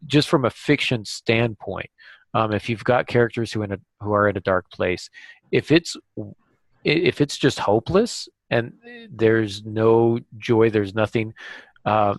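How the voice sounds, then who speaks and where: Man, podcast co-host, lowish pitch, dry close sound, halting, conversational. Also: dry recording. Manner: halting, thinking aloud. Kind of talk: casual podcast discussion.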